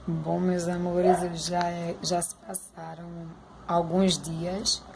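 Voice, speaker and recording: Hoarse, heartfelt woman talking to viewers. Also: fairly low pitch, extremely slow, noisy background. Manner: heartfelt, chatty, addresses viewers directly. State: slightly hoarse. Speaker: vlogger. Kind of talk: emotional personal vlog.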